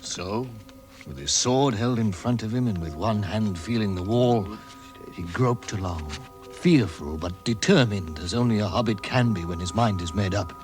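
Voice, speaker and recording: A man narrating a fantasy tale as a measured storyteller in a vintage radio drama. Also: lowish voice, animated, a little mellow, natural voice. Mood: storytelling. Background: music.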